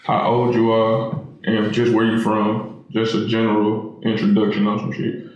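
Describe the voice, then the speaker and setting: A man's voice: lowish voice, completely flat delivery, moderate pace, a little mellow, muffled recording. Slangy male host in a very echoey room. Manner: slangy, teasing. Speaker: panel host and moderator. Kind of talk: casual group discussion. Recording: very echoey room, clear noise.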